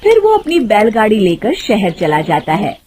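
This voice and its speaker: A woman's voice: mid-range voice, huge pitch swings, normal speed, darkish tone, a little hiss or hum. A woman narrating a scripted moral tale in a dramatic storytelling style.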